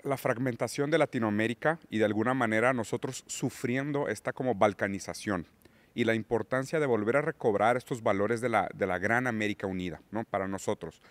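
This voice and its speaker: A man's voice: fairly low pitch, brisk, dry recording. Man, Portuguese native, podcast guest lecturing on politics, dry close mic. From Brazil. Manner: lecturing, rising emphasis on key words. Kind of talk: political discussion.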